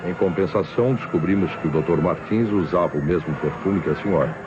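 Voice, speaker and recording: An actor playing a shrewd, sardonic Brazilian police inspector in an old radio crime drama, measured and probing. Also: male voice, deep voice, natural voice. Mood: sardonic, pressing. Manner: measured, probing, rhetorical questioning. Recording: dull old radio recording, faint noise.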